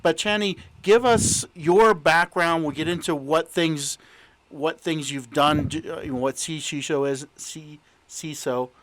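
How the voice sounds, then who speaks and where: A man's voice: a little high, slow pace, a bit bright, slight background noise. A man hosting an interview podcast, talking casually with many fillers and restarts. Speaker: podcast host. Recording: voice over background music.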